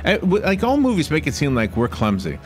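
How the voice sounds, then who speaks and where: A man's voice: fairly high pitch, huge pitch swings, a little fast, faint music behind. A man hosting a radio show, bantering casually and interviewing in a studio. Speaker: radio host.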